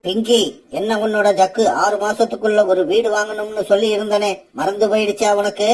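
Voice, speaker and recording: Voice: mid-range voice, level tone, fairly quick, lightly acted. An actor voicing an adult bird parent in a children's cartoon, earnest and stern.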